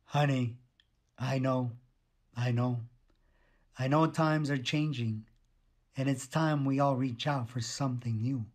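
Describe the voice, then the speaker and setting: An actor reciting song lyrics as a tender, pleading man, in a measured, deliberate dramatic style. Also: medium pitch, fairly even intonation, a little mellow. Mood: tender, solemn.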